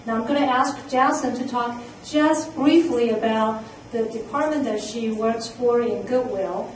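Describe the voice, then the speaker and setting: A woman's voice: on the high side, measured pace, a little hiss or hum. Woman presenting in an echoey hall. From immigrant. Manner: earnest, with many fillers. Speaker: conference presenter. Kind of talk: conference talk. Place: conference room. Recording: echoey room, mic from far off.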